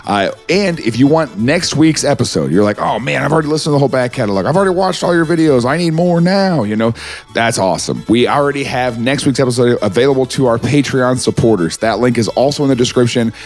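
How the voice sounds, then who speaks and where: A man co-hosts a podcast, his voice a little rough. He talks in a casual, wry way and signs off the show. Faint music plays behind him. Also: mid-range voice, quick pace. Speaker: podcast co-host. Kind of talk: casual chat and show sign-off.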